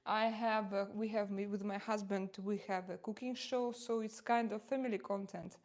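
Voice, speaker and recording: A woman's voice: mid-range voice, steady tone, a little mellow. Russian-accented woman in her forties chatting. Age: 40s. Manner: chatty, halting, many fillers, reads out viewer comments. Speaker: live streamer. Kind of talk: casual livestream chat while doing makeup.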